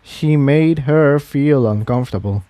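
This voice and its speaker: A man's voice: mid-range voice, unhurried, warm tone, no room sound. A man reading a fantasy adventure story aloud as its narrator. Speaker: fan fiction narrator.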